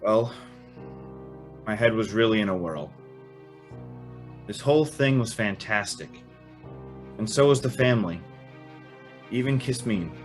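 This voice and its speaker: A man's voice: lowish voice, expressive, measured pace, long pauses, faint music behind. A man acting a bewildered, earnest young man who tells and lives his story in a radio drama, a little hesitant. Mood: tense narration. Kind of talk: old-time radio drama acting and first-person narration.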